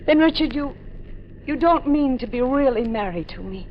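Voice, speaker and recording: Tormented, haunted wife, muffled recording. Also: female voice, high-pitched, animated, normal speed, slight background noise. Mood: pleading. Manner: dramatic, intense, clipped phrases. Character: tormented, haunted wife telling her dark story. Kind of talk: 1940s radio drama acting and narration. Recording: muffled vintage 1940s radio recording.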